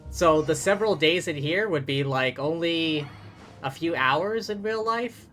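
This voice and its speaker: Man, slightly high voice, casual jokey streamer commentary, thinking aloud, faint music behind. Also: expressive, unhurried, a bit bright. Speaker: live game streamer. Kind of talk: casual live gameplay commentary.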